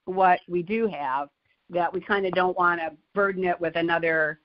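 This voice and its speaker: A woman's voice: lowish voice, steady tone, moderate pace, no echo. A middle-aged or older woman from Michigan, a caller politely asking questions in a conversational style. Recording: dull lo-fi phone call audio.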